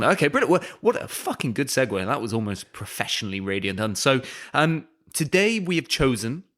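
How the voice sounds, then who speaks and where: Man, medium pitch, podcast co-host, jokey, crude, teasing. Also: fairly bright tone. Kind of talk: casual chat.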